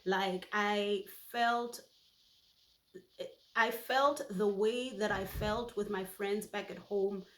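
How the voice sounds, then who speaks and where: A woman in her forties from Botswana, whose first language is Setswana, telling personal stories in a warm, heartfelt way. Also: medium pitch, steady tone, slow, echoey room, poor audio. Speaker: YouTube host. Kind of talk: personal reflective monologue.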